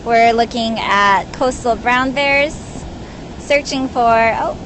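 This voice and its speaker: A woman's voice: on the high side, slow, a bit bright, low-quality recording. A woman from Hawaii working as a park ranger, chatting upbeat and casually on a live stream from an outdoor viewing platform, with frequent uh fillers. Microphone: phone live-stream. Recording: outdoor noise behind the voice.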